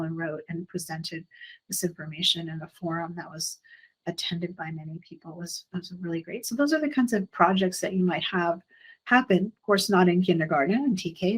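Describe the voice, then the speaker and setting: A middle-aged woman, a school administrator, talking parents through slides at an orientation, pausing often with ums and restarts. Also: on the low side, husky, low-quality recording. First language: English. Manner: halting, many ums and restarts.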